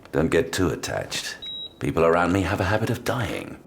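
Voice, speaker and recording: An actor playing a tough, wry demon-hunting detective in an audio drama, with a dry, gruff way of talking. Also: male voice, sits low, big pitch swings, a little slow, slight background noise, heavily acted. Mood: wry, relieved. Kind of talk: full-cast audio drama acting. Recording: music behind the voice.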